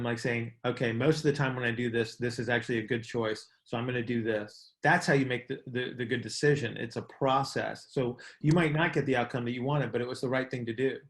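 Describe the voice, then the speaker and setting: A man's voice: mid-range voice, a bit bright. A man hosting a coaches' call, explaining things in a casual discussion and often checking in with "right?". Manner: often ends points with "right?".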